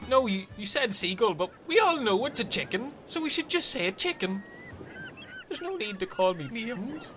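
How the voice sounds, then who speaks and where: Guy doing a high bright touchy castaway, boastful, swoops about, radio play. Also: very animated, moderate pace, soft background music, full character voice. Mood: hurt. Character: touchy, boastful, eager male castaway Jimmy.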